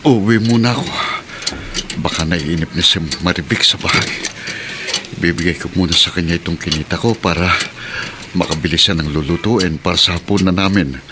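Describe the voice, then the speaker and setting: A man's voice: deep voice, fairly quick, very noisy, natural voice. A man playing a weary but stubborn, forgiving middle-aged pedicab driver in a radio drama, with a Filipino accent. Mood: contented.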